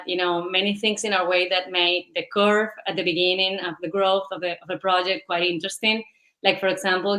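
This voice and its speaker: A woman's voice: medium pitch, bright, forceful tone. A woman, a company founder answering interview questions in a rambling, enthusiastic way. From Venezuela and Spain. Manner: rambling, enthusiastic, many fillers.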